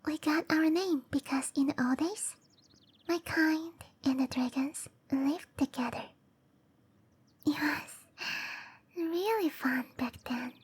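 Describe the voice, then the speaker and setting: A woman voicing a shy, gentle, scatterbrained cherry blossom dryad girl in a hesitant, apologetic whisper for roleplay ASMR. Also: high voice, takes it slowly, pauses often. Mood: friendly, curious. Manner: hesitant, apologetic, breathy whispering with soft giggles.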